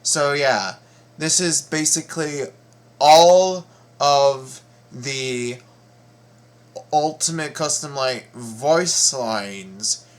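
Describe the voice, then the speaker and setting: A man hosting a casual reaction vlog, talking haltingly with repeated words and stammers. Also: mid-range voice. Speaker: reaction video host. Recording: steady background noise.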